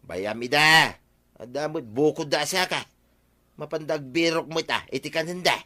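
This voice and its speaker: A man's voice: fairly high pitch, normal speed, bright, forceful tone. A man playing an easygoing husband who likes drinking with friends, teasing and bantering in a comic radio drama.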